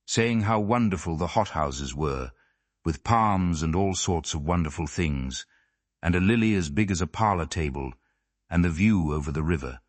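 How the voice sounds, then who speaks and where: A man's voice: sits low, lively intonation, normal speed, no echo. A man reading classic fiction aloud as scripted audiobook narration. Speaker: audiobook reader.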